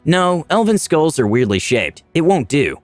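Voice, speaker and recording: An earnest male adventurer played by a man in a narrated fantasy tale, pitched up and animated. He speaks politely and coaxingly, pleading like someone thinking on his feet. The sound is dry. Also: unhurried, no echo, faint music behind. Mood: placating.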